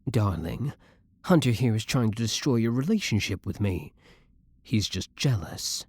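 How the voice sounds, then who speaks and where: A man's voice: lowish voice, lively intonation, unhurried, darkish tone, breathy edge, no room sound, studio quality. A man playing a jealous, menacing young boyfriend in an audio roleplay, biting with his rival and tender with the one he adores. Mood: indignant, boastful. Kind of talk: scripted romantic audio roleplay.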